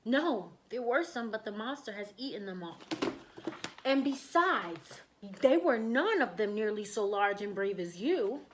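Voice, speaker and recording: A woman's voice: medium pitch, big pitch swings, a little slow, a little hiss or hum. A woman voicing a big tiger in a storybook, a respectful, anxious spokesman for the forest beasts, earnest and pleading. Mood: alarmed, pleading.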